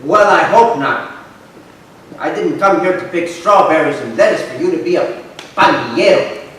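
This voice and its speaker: Echoey hall, man acting old grandfather, high animated voice, fond storyteller, stage drama. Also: normal speed, natural voice. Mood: scolding. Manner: storytelling, sprinkled with Spanish. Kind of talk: staged reading of a play. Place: arts center stage, live performance. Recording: echoey hall, distant mic, faint noise.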